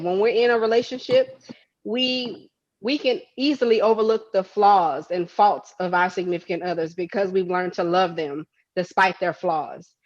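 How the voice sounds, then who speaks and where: A woman's voice: mid-range voice, dull audio. Earnest woman in her forties. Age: 40s. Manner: halting starts, then earnest and reflective. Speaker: interview guest. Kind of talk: candid conversation.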